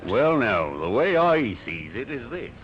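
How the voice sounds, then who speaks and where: A man's voice: mid-range voice, big pitch swings, unhurried. An actor playing a folksy, plain-spoken rustic village man in an old-time radio drama. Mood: concerned. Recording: very noisy, muffled old radio recording.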